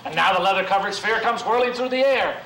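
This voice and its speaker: A man declaims verse theatrically in a high, bright, projecting voice. He sounds echoey and distant from the microphone. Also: fast, faint music behind. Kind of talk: theatrical poetry recitation. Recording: echoey, distant mic, faint noise.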